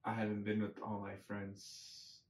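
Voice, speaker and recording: A young man with a fairly low voice banters casually from a little way off the mic, the room echoing around him. He swears often and argues by restating his point. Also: completely flat delivery, measured pace. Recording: echoey room, far from mic.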